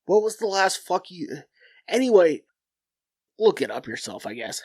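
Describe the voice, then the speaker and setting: A man's voice: high-pitched, big pitch swings, moderate pace, darkish tone, dry recording, studio quality. A young adult man, stuffed-up and nasal, hosting a podcast as a blunt, profane solo rant that rambles and restarts. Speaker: podcast host.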